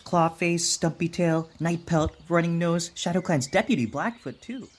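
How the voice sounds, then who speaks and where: An actor voicing a bold, cheerful young male apprentice cat, husky and breezy, in an audiobook fan dub. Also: mid-range voice, normal speed, slight background noise, natural voice. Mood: eager.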